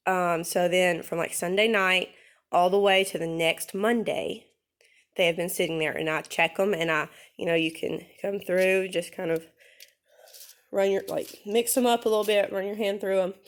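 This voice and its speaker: A woman's voice: on the low side, steady tone, normal speed. A woman talks through a homemade gardening demonstration in a loose, chatty way, correcting herself as she goes. Her voice is stuffy and nasal because she is getting over a cold. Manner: chatty, rambling, with many ums and self-corrections. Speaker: vlogger. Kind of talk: casual how-to demonstration.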